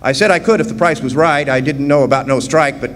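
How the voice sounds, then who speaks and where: An actor playing a plainspoken, folksy young drifter miner of 1917 in a radio drama. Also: male voice, on the high side, fast, natural voice. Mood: wry, steady. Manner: folksy, plainspoken, drawling dropped grammar. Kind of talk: first-person radio drama narration and dialogue. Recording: roomy live hall stage, background hiss.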